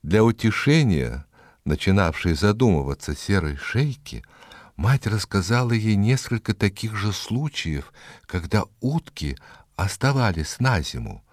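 A man narrating a fairy tale expressively, in a measured way with dramatic pauses. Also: fairly low pitch, big pitch swings, no room sound, clean studio sound. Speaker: storyteller. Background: music.

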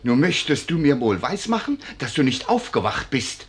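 A man's voice: medium pitch, sing-song, moderate pace, lightly acted. Blustering old husband with swooping voice played by a man. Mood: furious. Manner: blustering, exclamatory, theatrical. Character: very old doting, blustering husband, a nobleman, jealous. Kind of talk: 1940s German radio play acting.

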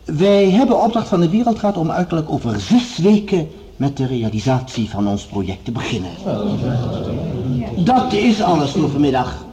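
An actor playing a commanding project leader, speaking formally and with measured authority in a radio drama. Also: male voice, on the high side, sing-song, mellow. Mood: formal, rallying. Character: commanding male project leader addressing assembled scientists. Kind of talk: radio drama acting, formal speech-making.

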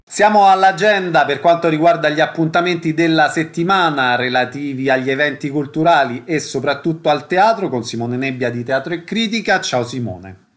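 A man's voice: fairly high pitch, normal speed, soft background music. A man hosting a radio culture show, presenting announcements and guests. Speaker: radio host.

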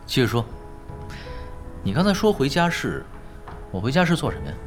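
An actor playing a laid-back, wry esports veteran with a casual, understated manner in a Chinese audio drama. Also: male voice, medium pitch, huge pitch swings, a little fast. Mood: cool, guarded. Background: music.

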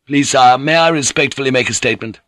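A man's voice: medium pitch, fast talker, a bit bright, no room sound, clean studio sound, pitched above the performer's own voice, close to an everyday voice. A man voicing a dutiful, deferential warlord's son in an audiobook, earnest, respectful and anxious. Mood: anxious pleading. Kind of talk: dramatic audiobook character reading.

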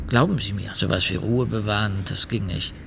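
A condemned murderer in a radio play, his low voice full of gravel. He rambles colloquially, agitated and full of self-pity, justifying himself to a guard. Noisy ambience sits behind him. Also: a little fast. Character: condemned serial killer confessing to a guard, agitated, self-pitying. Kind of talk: radio drama monologue.

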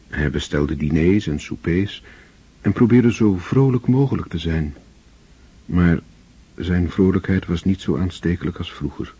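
A man narrating a radio play in a measured, solemn manner. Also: deep voice, mellow, breathy edge. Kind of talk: scripted literary narration. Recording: dull lo-fi old radio recording.

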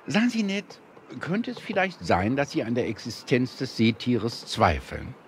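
An actor playing an excitable, educated professor in a theatrical seventies German adventure radio play, animated and questioning in manner. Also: male voice, mid-range voice, measured pace. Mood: curious, probing. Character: excitable, curious, educated male professor at sea. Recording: noisy 1970s vinyl radio play.

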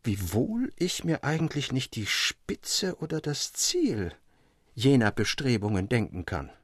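A man narrating a literary tale from a script in a measured, formal way. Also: mid-range voice, very animated, breathy edge, dry recording, clean studio sound. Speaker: audiobook narrator.

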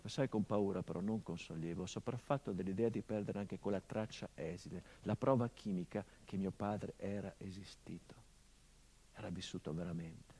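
A male actor delivering a theatrical monologue as a son remembering his father, measured and intimate, swelling into urgency. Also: low-pitched, dark tone, breathy edge.

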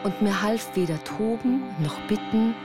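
A woman's voice: mid-range voice, a little slow. A woman reading scripted literary narration as the narrator of a radio play. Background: music.

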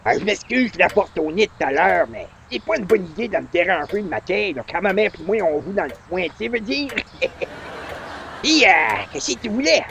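A man playing a folksy, familiar old grandpa with a creaky voice, rambling colloquially in a Quebec accent in a radio comedy. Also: high-pitched, lively intonation, brisk, fairly bright tone, highs cut off, a little hiss or hum, exaggerated voice. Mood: jovial, chummy. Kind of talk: 1940s radio comedy acting.

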